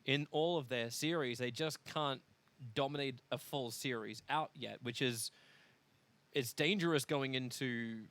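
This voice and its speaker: A man's voice: medium pitch, fairly bright tone. A man with an Australian accent, casting a live esports match and chatting between games in a joking, talkative way. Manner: chatty and joking, quick asides. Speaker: esports caster. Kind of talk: casual pre-game commentary banter.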